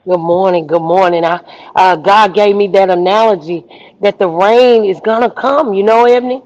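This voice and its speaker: A woman minister hosting a livestream, preaching outdoors in the rain with repetition and rising shouts. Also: mid-range voice, moderate pace, highs cut off. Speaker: minister and show host. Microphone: phone livestream.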